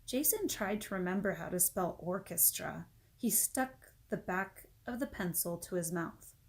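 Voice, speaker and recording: A woman reading a children's storybook aloud as an expressive narrator. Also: lowish voice, measured pace. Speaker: storybook reader.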